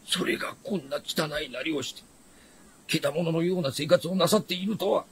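An actor playing a stern retainer of a noble house, speaking formal, archaic Japanese with haughty deference in a period fantasy radio drama. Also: male voice, on the high side, fairly quick, fairly bright tone, a little hiss or hum. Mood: grave, disdainful.

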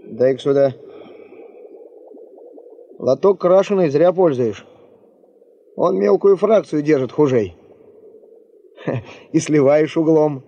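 An actor playing a folksy, know-it-all gold-panning camp hand in a radio play, teasing and colloquial. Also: male voice, on the high side, animated, takes it slowly, long pauses, dark tone, heavily acted. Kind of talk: 1970s Soviet radio play acting. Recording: dull 1975 radio play recording.

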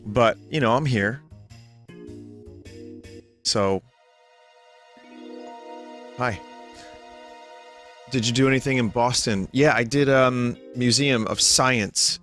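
A young man, a little hoarse and tired, chatting casually and rambling to his stream viewers from home. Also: mid-range voice, takes it slowly, long pauses, fairly bright tone, faint music behind. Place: home room.

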